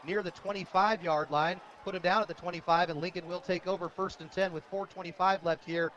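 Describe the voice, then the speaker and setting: A man's voice: sits high, steady tone, a little fast, a bit bright, a little hiss or hum. An American man giving live radio play-by-play commentary of a football game. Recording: dull lo-fi radio broadcast audio.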